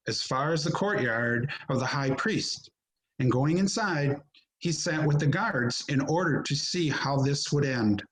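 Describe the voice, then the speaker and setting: A man narrating scripture from a script in a deliberate, solemn manner. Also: a little high, poor audio. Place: remote recording on a video call. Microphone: video call.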